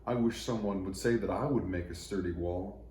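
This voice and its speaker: A man's voice: low voice, fairly quick, mellow, close to an everyday voice. A man voicing a scrawny, wistful little tree in a children's storybook. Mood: downcast. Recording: roomy echo, faint noise.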